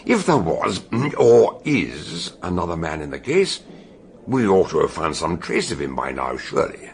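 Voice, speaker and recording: An actor playing an older British detective, deliberate and weighty, narrating his case notes in a radio drama. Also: sits low, very animated, exaggerated voice. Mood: blustering.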